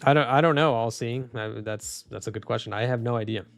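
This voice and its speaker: Man, calm soft-spoken streamer, casual chat, dry close sound, faint background guitar. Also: medium pitch, quick pace, creaky, no echo. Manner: soft-spoken, calm, trailing off with restarts and small laughs. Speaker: streamer reading live chat. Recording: dry close mic, faint music underneath.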